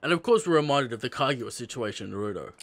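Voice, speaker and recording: A man's voice: mid-range voice, lively intonation, fast talker, fairly bright tone. A man co-hosting a podcast in casual chat, chiming in with short agreements and sarcastic jabs. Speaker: podcast co-host.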